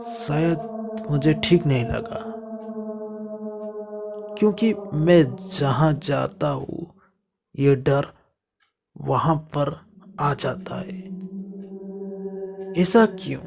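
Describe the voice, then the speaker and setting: A man narrating a first-person horror story dramatically, in halting short phrases that sometimes drop to a hush. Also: on the high side, creaky. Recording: music bed behind the voice.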